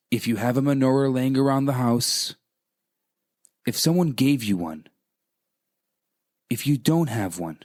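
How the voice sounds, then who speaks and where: Slow-paced man telling a story. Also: mid-range voice, a little mellow. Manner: deliberate, emphatic, repeats phrases for effect. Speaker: rabbi, radio storyteller. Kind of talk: dramatic storytelling and inspirational address.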